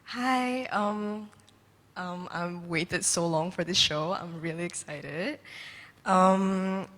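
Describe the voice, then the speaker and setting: A young woman from Indonesia asking a panel a question, fast and chatty with many likes. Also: fairly low pitch, fairly bright tone. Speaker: audience member asking a question. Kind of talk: casual question from the floor. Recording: long reverb of a large hall.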